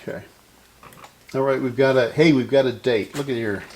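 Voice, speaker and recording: A man with a gravelly voice casually narrating his hands-on repair work as host, musing and thinking aloud as he goes. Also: mid-range voice, steady tone, normal speed, a little mellow, a little hiss or hum. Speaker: host of a vintage radio repair video.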